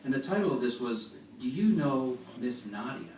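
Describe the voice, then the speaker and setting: A man's voice: medium pitch, very animated, normal speed, a little mellow. A man giving an instructional religious talk to a congregation in a plain-spoken, explanatory way, reading out anecdotes as he goes. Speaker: congregation speaker giving a demonstration. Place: echoey meeting hall before an audience. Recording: echoey hall, distant mic, faint noise.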